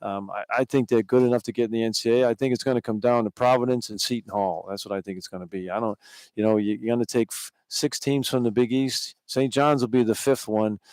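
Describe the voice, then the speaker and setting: An older man gives casual color analysis on sports radio. He is plainspoken and doubles up on words as he thinks, in a mid-pitched voice with a very dry, close sound. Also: fairly even intonation, quick pace, darkish tone, no echo, poor audio. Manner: plainspoken, repeats words as he goes. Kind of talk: casual conversational post-game analysis.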